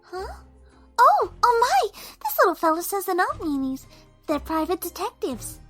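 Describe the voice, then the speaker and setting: A woman voicing a timid, soft-spoken, kind young female pegasus pony in a cartoon audio drama, hesitant and apologetic. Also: extremely high pitch, big pitch swings, measured pace, faint music behind, exaggerated voice. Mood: shy, kind. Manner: hesitant, apologetic, gently stammering.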